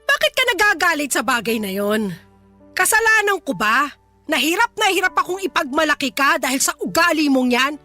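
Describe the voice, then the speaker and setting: A woman's voice: high-pitched, sing-song, a little fast, bright, forceful tone, faint music behind, full character voice. An actress playing a scolding, unapologetic mother of a grown son in a Filipino radio drama, with a nagging, dismissive manner. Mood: defensive, indignant.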